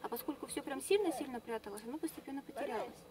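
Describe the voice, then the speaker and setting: Female tour guide, young adult, quick informative talk, mic far off, people chatting behind. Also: high voice, a loud crowd. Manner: fast, fluent, informative, with fillers. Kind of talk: explaining to a tour group. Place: inside a large tent with a sand floor.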